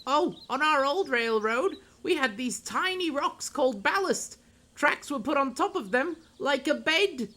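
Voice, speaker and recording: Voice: sits high, expressive, a little slow, sharp tone, full character voice. A performer voicing a polite, eager young male tank engine in a children's audio drama, earnest and boyish. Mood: explaining.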